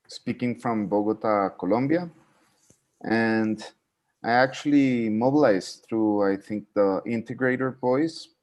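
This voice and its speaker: A man introducing himself in an online meeting, unhurried and thoughtful. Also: lowish voice, darkish tone.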